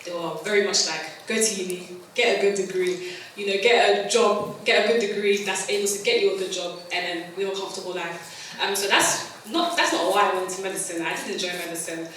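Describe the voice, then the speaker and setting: Young east woman, bright voice, chatty, laughing a lot, big echoey hall. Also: medium pitch, recorded from a distance, slight background noise. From East London, England. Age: 20s. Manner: chatty, laughs easily, self-correcting. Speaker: conference speaker. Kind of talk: personal story on stage. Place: large conference hall. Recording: echoey hall, mic heard from afar.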